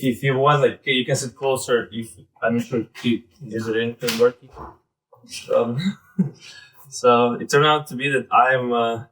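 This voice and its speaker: A man in his thirties with a Belarusian accent gives an informal live presentation in a halting, conversational way, often saying "like" and "basically". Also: mid-range voice, fairly bright tone, low-quality recording. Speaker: presenter, startup founder.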